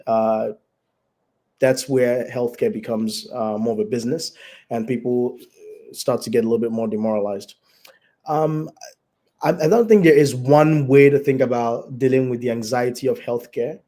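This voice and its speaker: A man with a raspy, flu-hoarse voice, a doctor explaining health care as a guest over a video call, measured and full of fillers. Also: mid-range voice, mellow. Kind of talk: explanatory interview talk.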